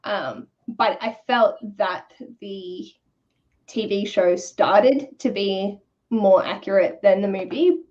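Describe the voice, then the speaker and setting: A woman's voice: mid-range voice, takes it slowly, a little mellow, highs cut off. A woman chatting casually and candidly, with informal, slangy wording, as a co-host of a book discussion. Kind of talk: casual chat.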